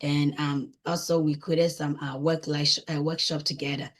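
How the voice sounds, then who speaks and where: Ghanaian lady guest on an interview, low husky voice, chatty, keeps adding tag questions. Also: little pitch movement, normal speed. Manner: frequently tags phrases with "right?". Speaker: guest, coaching client and business owner. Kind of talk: conversational testimonial interview.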